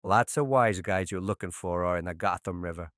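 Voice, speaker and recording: Brash, hard-edged sardonic mobster. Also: male voice, low-pitched, brisk, a bit bright, no room sound, studio quality. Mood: sardonic, dismissive. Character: cocky, sardonic, vicious male mob boss. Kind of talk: animated crime drama acting.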